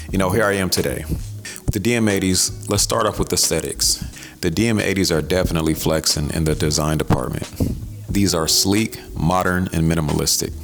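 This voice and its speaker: An American man hosting a casual product review, speaking in a conversational, colloquial way. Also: low voice. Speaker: audio gear reviewer and host.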